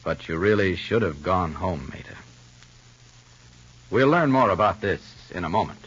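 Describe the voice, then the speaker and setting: A man announcing in the style of 1950s radio, both dramatic narrator and product pitchman. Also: expressive, normal speed, fairly bright tone, breathy edge. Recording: muffled, dull old radio recording.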